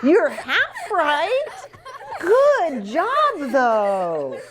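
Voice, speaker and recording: Animated woman reading slowly to children. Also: high voice, a loud crowd. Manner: playful, exaggerated, sing-song delivery. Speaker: children's ministry pastor reading a storybook. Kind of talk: animated picture-book read-aloud for children.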